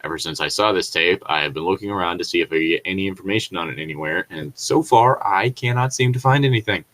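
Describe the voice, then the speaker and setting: A man's voice: sits low, quick pace, a bit bright, rough recording. A man giving casual commentary and reading aloud as a co-host, in a rambling, incredulous, sweary manner. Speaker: co-host reading and reacting to online horror stories.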